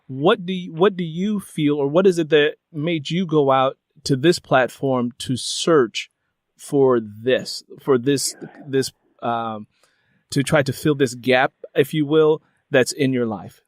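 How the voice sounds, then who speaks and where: A middle-aged man with an American accent interviewing someone conversationally, deliberately rephrasing his questions as he goes. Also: medium pitch, dry recording, studio quality. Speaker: interviewer and host.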